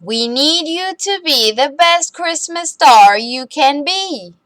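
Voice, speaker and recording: A woman's voice: high-pitched, lively intonation, takes it slowly, a bit bright, no room sound, clean studio sound, pitched above the performer's own voice, full character voice. A woman voicing a kind female schoolteacher in a children's storybook, reassuring and instructive.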